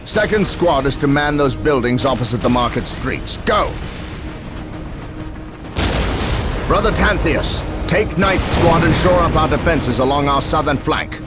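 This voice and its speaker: An actor playing a stern, resolute space marine commander in a video game cutscene, speaking with formal military authority. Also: male voice, on the high side, lively intonation, moderate pace, few pauses, lightly acted. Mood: commanding. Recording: music behind the voice. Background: music.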